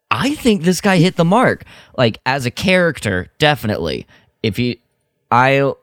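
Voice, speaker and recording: Slow, smooth, low-voiced man chatting. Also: no echo, clean studio sound. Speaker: podcast panelist and performer. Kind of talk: casual chat.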